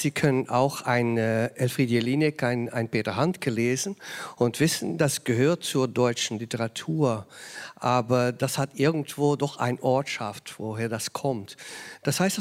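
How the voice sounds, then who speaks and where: A man from Flanders, a native Dutch speaker with a Flemish accent, answering as an author on a panel and often searching for his words in German. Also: mid-range voice. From Belgium, Flanders (Ghent). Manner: searching for words in German, frequent restarts and fillers.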